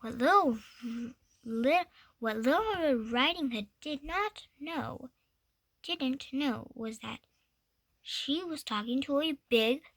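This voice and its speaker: A woman's voice: high voice, lively intonation, slow, long pauses, fairly bright tone, no room sound. A young girl reading a picture book aloud, stumbling over words and correcting herself, with playful asides. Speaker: storybook reader.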